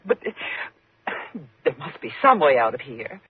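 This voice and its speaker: A woman's voice: a bass voice, very animated, measured pace, dry recording, exaggerated voice. An actress playing a nervous, fearful woman in a 1950s radio thriller, with a faltering, agitated delivery. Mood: anxious. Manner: faltering, agitated, breathy gasps. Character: nervous, fearful woman trapped with a menacing man. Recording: muffled, tinny 1950s radio recording.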